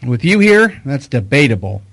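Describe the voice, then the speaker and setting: An actor playing a wisecracking, informal private detective in a radio mystery. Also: male voice, mid-range voice, sing-song, normal speed, a bit bright. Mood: grumbling. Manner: wry, informal. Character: wisecracking private detective, uneasy among high society. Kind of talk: hard-boiled detective radio drama.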